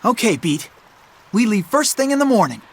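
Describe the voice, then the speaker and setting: Game role: earnest, resolute youth, high register. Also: male voice, very animated, moderate pace, husky, exaggerated voice. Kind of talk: video game character voice acting.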